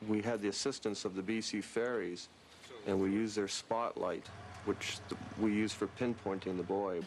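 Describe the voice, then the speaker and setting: A man's voice: on the low side, fairly even intonation, moderate pace. A male rescue worker giving a factual account in an interview. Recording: clear background noise.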